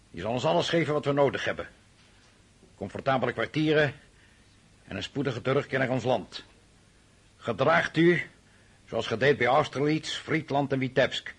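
A man acting an imperious, brooding French emperor on campaign in a radio play, with a commanding, declamatory manner and a husky voice. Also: medium pitch, fairly bright tone, dry recording. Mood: proud, commanding.

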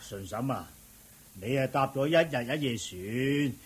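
A really raspy old uncle, hong kong cantonese, fussy kindly peacemaker, swoopy excitable radio drama delivery. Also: male voice, medium pitch, measured pace. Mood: coaxing, explaining. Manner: excitable, stammering, coaxing.

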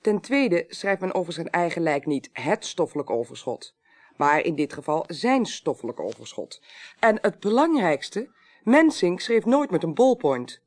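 An actress playing a sharp-minded, pedantic court clerk in a radio play, precise, analytical and assured. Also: female voice, lowish voice, sing-song, measured pace. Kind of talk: 1980s Dutch radio play acting.

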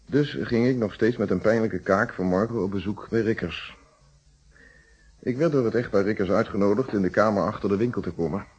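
An actor playing a steady, reflective police inspector recounting his case in a Dutch radio drama, with a Netherlands accent and measured, polite delivery. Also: fairly low pitch, husky, highs cut off. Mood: matter-of-fact. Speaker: actor in a detective radio play.

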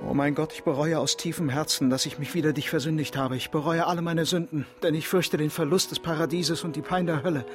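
Higher-voiced volatile male penitent played by an actor. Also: fairly even intonation, fast, hardly any pauses, music in the background, natural voice. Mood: urgent remorse. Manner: intense, coarse, confessional. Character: guilt-ridden, volatile criminal confessing to a priest. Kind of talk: radio drama acting.

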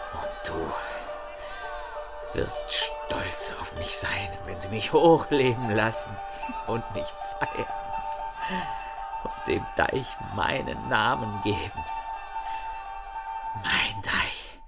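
An actor playing a proud, feverish dikemaster who broods haltingly and often half-whispers, in a radio drama. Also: male voice, medium pitch, gravelly, full character voice. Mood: proud, dreaming. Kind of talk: German radio drama acting.

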